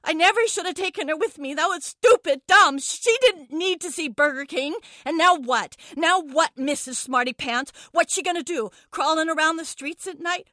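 A scared, stubborn little schoolgirl, played by a woman, in a dramatic monologue. Her voice is high and sharp, recorded close and dry. She stumbles and repeats herself, covering fear with crude bravado. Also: moderate pace, hardly any pauses, no room sound. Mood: anxious. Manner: stammering, repeating herself, foul-mouthed bravado. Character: frightened, defiant young schoolgirl trapped in a car trunk. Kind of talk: dramatic first-person monologue.

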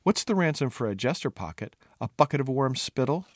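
A haughty young storybook princess acted by a man. She is sharp-tongued and imperious, scolding with impatient exasperation, recorded dry. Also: medium pitch, sing-song, brisk, no echo.

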